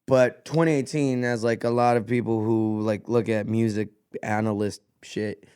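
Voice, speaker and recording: Young guy from greece, smooth clear voice, rambling lively stories w lots of like and bro. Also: on the low side, normal speed. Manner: animated, laughing, lots of "like" and "bro". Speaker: guest musician. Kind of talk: casual storytelling chat. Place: podcast studio.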